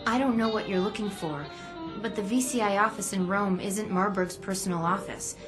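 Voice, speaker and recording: Female actor for a worried young informant in a spy game: medium pitch, sincere, hesitant, music underneath. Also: normal speed, close to an everyday voice. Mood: hesitant, cooperative. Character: earnest, anxious young female office employee turned informant. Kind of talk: video game dramatic dialogue. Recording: music playing behind the voice.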